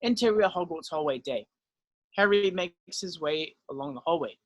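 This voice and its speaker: A man with a fairly high voice reads stage directions as narrator at a script table read. The delivery is scripted narration, setting out scenes and actions. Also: expressive, normal speed.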